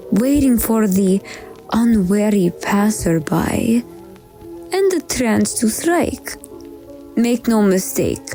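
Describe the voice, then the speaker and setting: A woman's voice: medium pitch, mellow. A woman giving a dramatic, ominous scripted narration as the show's narrator. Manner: slow, ominous storytelling. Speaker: show narrator. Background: faint music.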